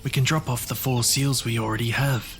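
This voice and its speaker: A man playing a courteous, self-assured magical wanderer who collects stories, speaking in a measured, formal way in an audio drama. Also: mid-range voice, steady tone, fairly bright tone, soft background music, lightly acted. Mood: puzzled, resolute.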